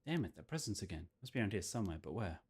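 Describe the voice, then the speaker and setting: A man's voice: low voice, very animated, very fast, darkish tone, husky. A man streaming a visual novel, reading the text aloud and adding his own commentary. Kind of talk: game playthrough commentary and dramatic read-aloud. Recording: game music behind the voice. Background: video game music.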